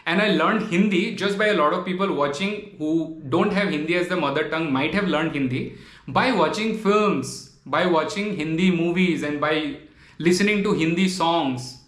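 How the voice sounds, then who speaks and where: A man's voice: fairly high pitch, moderate pace, a bit bright. Earnest young man, echoey room. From Tripura, Northeast India. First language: Bengali. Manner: earnest, pleading, repeats phrases and says "man". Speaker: vlogger. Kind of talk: impassioned direct-to-camera monologue.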